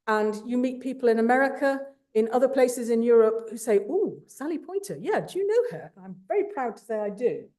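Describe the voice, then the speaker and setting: A woman giving a formal awards speech, enthusiastic and warm, with small asides to the audience. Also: a little high. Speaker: awards presenter.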